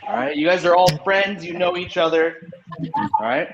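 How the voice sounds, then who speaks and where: Loud, upbeat man teaching an online class. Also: sits high, normal speed, background noise. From California, USA. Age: 30s. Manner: upbeat, repeats "okay?" and "all right?" to check in; loud and energetic. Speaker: English teacher. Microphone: computer microphone on video call. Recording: lo-fi echoey online call audio.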